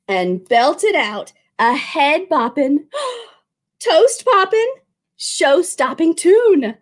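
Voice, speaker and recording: A woman reading a picture book aloud as a children's librarian, expressive, drawing out words and speaking to young listeners. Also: sits high.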